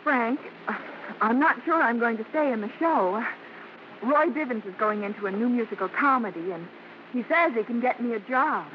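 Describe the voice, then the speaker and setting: A woman's voice: a little high, animated, normal speed, natural voice. An American woman playing a sincere, modest young vaudeville performer with spirit, in old radio drama style. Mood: earnest, hesitant. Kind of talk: 1940s-50s American radio drama acting. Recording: muffled old radio broadcast, faint noise.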